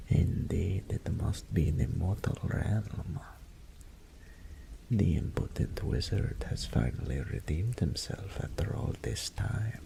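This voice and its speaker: Brief: a smug incubus demon voiced by a man, very deep and very slow, gravelly whispers, faint rain behind. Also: dark tone, a little hiss or hum, exaggerated voice, low-quality recording. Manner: slow, purring, seductive, condescending. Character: seductive male greater incubus demon, smug, teasing. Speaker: voice actor. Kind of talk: audio roleplay drama.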